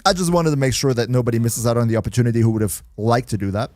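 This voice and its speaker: A young man with a German accent hosts a gaming livestream and keeps up an easygoing, lively chat with his viewers. His pitch swings up and down a lot as he talks, and the recording is dry and close, with no room sound. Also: very fast, no echo. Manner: chatty, reads and answers chat, laughs often. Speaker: livestream host.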